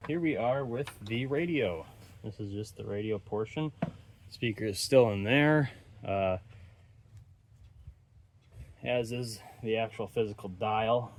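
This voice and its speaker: Low-pitched man, casual repair commentary. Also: slow pace, long pauses, slight background noise. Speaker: host of an electronics repair channel.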